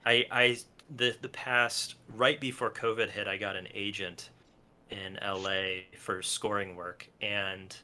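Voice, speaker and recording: A man talking casually as an interview guest, thinking out loud in a halting, rambling way with repeated false starts. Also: on the low side, flat delivery. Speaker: guest musician and film and TV composer.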